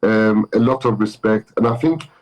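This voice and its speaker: A man from Turkey with a London accent speaks as a guest in a remote interview. His voice sits fairly low, and he gropes for words with frequent fillers and restarts. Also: moderate pace, a little mellow. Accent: London English. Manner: hesitant, many fillers and restarts. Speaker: football manager, guest.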